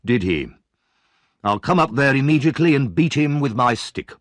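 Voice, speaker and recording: A man voicing a pompous middle-aged male parish official who speaks slowly and heavily, in a storybook reading. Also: mid-range voice, animated, dry recording, clean studio sound, voice pushed up, close to an everyday voice.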